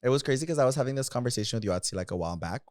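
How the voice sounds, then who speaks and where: A man's voice: mid-range voice, lively intonation, rapid-fire, a little mellow, no room sound, clean studio sound. A man, chatting casually as a podcast co-host and telling stories in loose slang with casual swearing. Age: mid 20s. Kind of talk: casual sibling podcast chat.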